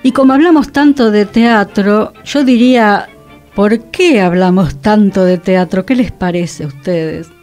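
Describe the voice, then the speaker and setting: A woman radio host speaks in a Rioplatense Argentine accent at a medium pitch. She chats casually in a studio, with some music playing behind her voice. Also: unhurried, a little mellow.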